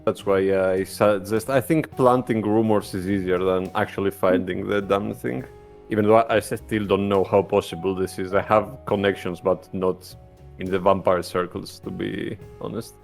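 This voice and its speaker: A man's voice: sits low, fairly even intonation, normal speed, gravelly, faint music behind. A man playing a tabletop roleplaying game, discussing strategy in a considered, hesitant way.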